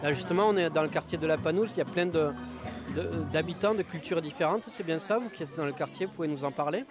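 A man's voice: a little high, fast. A man interviewing residents at an outdoor neighbourhood party, asking polite guiding questions. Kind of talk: street interview. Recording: outdoor party with music behind.

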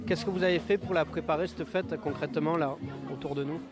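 A man's voice: a little high, steady tone, fast talker, over a noisy crowd. A man interviewing residents at an outdoor neighbourhood party, asking polite guiding questions. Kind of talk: street interview. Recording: outdoor party with music behind.

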